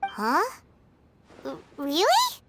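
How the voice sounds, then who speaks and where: A tiny, cheeky game sidekick creature with an extremely high, childlike voice. The delivery is blunt and exclaiming, swooping wildly up and down, with loud noise behind it. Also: female voice, wildly swooping pitch, drawn-out words, full character voice. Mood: indignant. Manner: exclaiming, blunt, speaks of self in third person. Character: small childlike floating companion creature, chirpy, cheeky, female. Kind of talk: animated video game voice acting.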